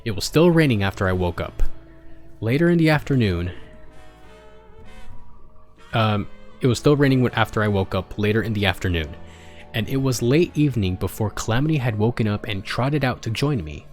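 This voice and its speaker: A man's voice: lowish voice, animated, normal speed, long pauses, faint music behind. A man narrating a story as he reads fan fiction aloud, sometimes stumbling and rereading a line.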